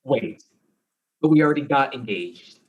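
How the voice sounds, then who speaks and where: A man's voice: medium pitch, animated, moderate pace, phone-quality sound, close to an everyday voice. A man acting an insecure, jealous and goofy fiancé in a darkly comic mystery play, earnest and flustered. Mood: accusing.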